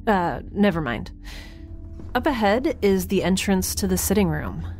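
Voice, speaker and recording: A woman playing a nervous, wry young scientist who narrates a field log in a halting, conversational way for an audio drama. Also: mid-range voice, sing-song, a little mellow, soft background music. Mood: uneasy, observant. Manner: halting, self-interrupting, conversational.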